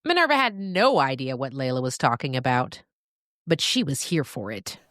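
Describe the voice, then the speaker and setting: A woman narrating scripted fiction as a storyteller, with expressive, deliberate phrasing and dramatic pauses. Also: very deep voice, no echo, clean studio sound.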